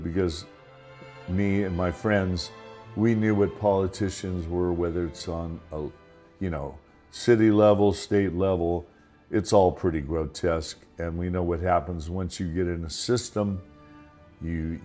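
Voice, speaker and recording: An older man with a very low voice talks in a rambling, conversational monologue. He keeps restarting and drifting into asides. Faint music plays softly behind him. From Los Angeles, United States. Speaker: podcast host. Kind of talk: casual monologue.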